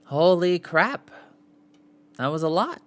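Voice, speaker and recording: A man's voice: on the high side, big pitch swings, takes it slowly, a bit bright. A man hosting a casual live podcast, reading aloud and sometimes stumbling over the words. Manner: stumbles over foreign names, sounding them out. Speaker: podcast host.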